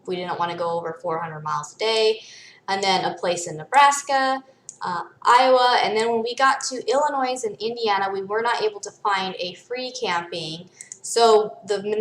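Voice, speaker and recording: Female vlogger, American, middle-aged, medium pitch, casual step-by-step explainer, roomy echoing sound. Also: moderate pace, fairly bright tone. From United States (born in Michigan, raised in Arizona from age seven or eight). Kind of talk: casual explanatory vlog talk.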